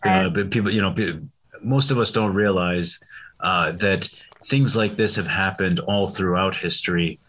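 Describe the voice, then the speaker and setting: A man's voice: sits low, moderate pace, fairly bright tone. A man from Syria taking part in a casual conversation. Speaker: conversation participant. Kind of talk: casual chat.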